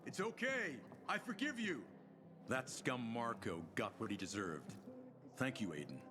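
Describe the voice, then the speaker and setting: A man's voice: medium pitch, big pitch swings, measured pace, noisy background. An actor voicing a nervous, shifty male merchant who pleads and dodges in a flustered way, in video game dialogue. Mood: pleading. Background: music.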